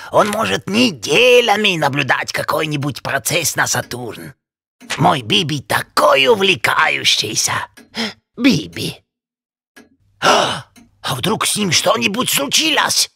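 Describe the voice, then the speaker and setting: Husky German-accented penguin papa. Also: male voice, on the high side, sing-song, takes it slowly, sharp tone, exaggerated voice. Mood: wistful, worried. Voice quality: husky, gravelly. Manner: broken grammar, sighing, emotional. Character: sentimental grown penguin inventor, doting anxious father. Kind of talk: animated cartoon dialogue.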